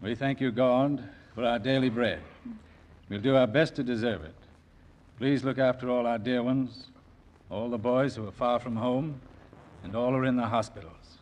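A man's voice: mid-range voice, steady tone, moderate pace, a little mellow, close to an everyday voice. An actor playing a genial, plain-spoken middle-aged father, a small-town druggist, in 1940s radio drama style. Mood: earnest, reverent.

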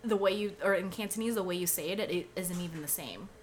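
A woman's voice: lowish voice, quick pace, a little hiss or hum. Chatty woman podcast co-host. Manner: chatty, laughs often, fills with "like". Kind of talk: casual chat. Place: at home.

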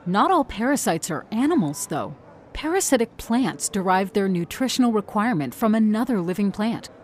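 A woman playing a firm, pressuring high school biology teacher in an audio drama, with a didactic manner. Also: very animated, moderate pace, a little hiss or hum, natural voice. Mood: lecturing.

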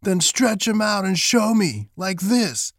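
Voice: mid-range voice, sing-song, slow pace, no room sound, studio quality, pitched above the performer's own voice. A storyteller voicing a brash, mocking wild rabbit with a blunt, taunting manner. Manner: taunting, exclaiming.

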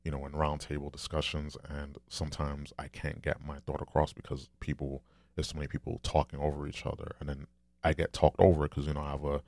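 Deep quiet-voiced guy rambling on his podcast, dry close mic, lots of restarts. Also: completely flat delivery, a little fast, no echo. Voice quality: deep, soft-spoken voice. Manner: rambling, conversational, with many restarts. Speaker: podcast host. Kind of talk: solo podcast monologue.

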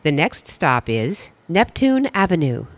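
An automated-sounding subway announcer reading stops and transfers in flat, measured phrasing. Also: medium pitch, no room sound. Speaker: train announcer. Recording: dull lo-fi audio.

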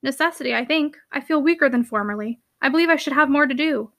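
A woman voicing a meek, unhappy young niece in a storybook, polite, earnest and quietly persistent. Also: sits high, fast talker. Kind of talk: storybook character dialogue.

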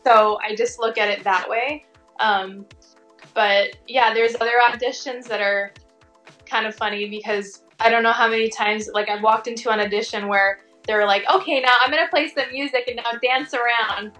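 High-voiced young-sounding woman chatting casually. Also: fairly even intonation, moderate pace, fairly bright tone, soft background music. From Tongan American. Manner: informal, frequent "like" and "you know". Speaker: interview guest, working fashion and commercial model. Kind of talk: casual interview chat.

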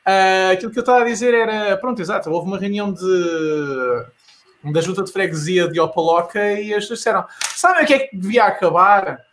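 A man from the United States chatting casually as a comedy panelist, rambling and halting, dropping in English slang. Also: high voice, a bit bright, slight background noise. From Missouri, United States. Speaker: panelist on a comedy news show.

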